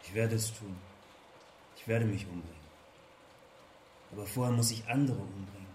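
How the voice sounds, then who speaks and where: A male actor as a brooding, despairing poet with a dark, fairly low voice. He makes grim, obsessive declarations in a radio play, slightly distant, over faint ambient noise. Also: normal speed, lightly acted. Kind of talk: radio drama acting.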